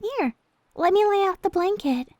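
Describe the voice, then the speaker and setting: A woman playing a gentle, devoted young maid in an ASMR roleplay, speaking in a soft, sweet, tender way. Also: very high-pitched, animated, normal speed, dry recording, studio quality. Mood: cheerful, tender. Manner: soft, sweet, tender, hesitant when confiding.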